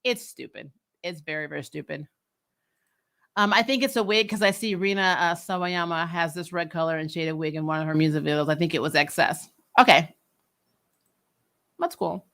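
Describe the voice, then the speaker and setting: A woman's voice: on the low side, moderate pace, pauses often, fairly bright tone. A woman giving casual, candid fan commentary as a recap host, in a sassy, emphatic and colloquial way.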